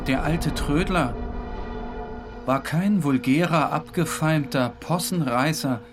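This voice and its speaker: An actor playing a haunted, morbidly obsessed dreamer who narrates in a grave, deliberate, theatrical way. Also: male voice, on the high side. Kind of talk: dramatic scripted literary narration. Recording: music playing under the voice.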